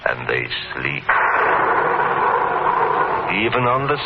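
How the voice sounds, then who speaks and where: A man's voice: a bass voice, big pitch swings, takes it slowly, creaky, heavily acted. An actor playing an anxious, secretive married man in a 1950s radio horror drama, speaking in hesitant, broken phrases. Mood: brooding, melancholy. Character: anxious, secretive, haunted married bird-lover narrating his own story. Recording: muffled, very noisy vintage radio recording.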